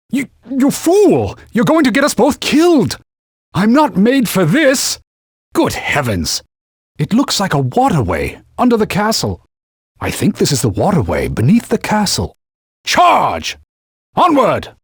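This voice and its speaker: A man voicing a haughty, pompous talking sword that guides and scolds its wielder in a formal, stern manner, with a slightly husky voice. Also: dry recording, clean studio sound, full character voice. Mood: alarmed. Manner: pompous, formal and scolding, turns shrill when alarmed. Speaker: sidekick guide. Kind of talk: video game voice clips. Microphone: dry studio mic.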